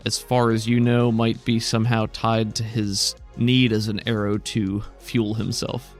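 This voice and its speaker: A man's voice: lowish voice, flat delivery, measured pace, soft background music. A man acting as game master, narrating an improvised tabletop game in a conversational, descriptive way.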